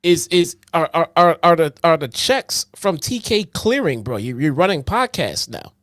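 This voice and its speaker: A man's voice: on the high side, sing-song, moderate pace, a bit bright, no echo. A man from Chicago, co-hosting a wrestling podcast with dry, teasing remarks. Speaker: podcast co-host. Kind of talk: casual chat.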